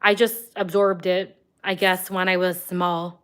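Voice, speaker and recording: A woman's voice: on the low side, little pitch movement, a little slow, fairly bright tone, clean tone. A woman hosting a casual livestream, chatting as she answers viewer comments, with frequent "like" and "um" fillers. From Canada.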